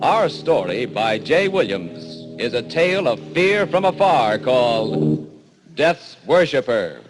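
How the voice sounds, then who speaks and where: A man narrating a 1940s radio horror show in an ominous, dramatic, deliberate manner. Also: mid-range voice, sing-song, over music, poor audio.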